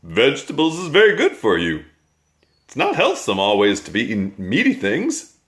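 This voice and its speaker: A man's voice: medium pitch, huge pitch swings, normal speed, a bit bright. A man reading aloud and voicing a kindly, sly male giant in a raised, sing-song voice. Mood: cheerful coaxing.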